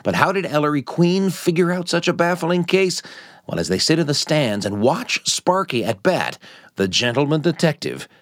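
An actor playing a dramatic announcer narrating a 1940s radio mystery with theatrical, drawn-out delivery. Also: male voice, on the high side, sing-song, fairly bright tone, dry recording, studio quality. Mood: suspenseful.

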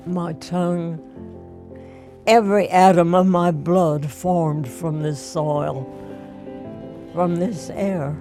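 A woman reading poetry aloud for a documentary, slow and halting, with long pauses between phrases. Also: on the low side, fairly even intonation, mellow, soft background music.

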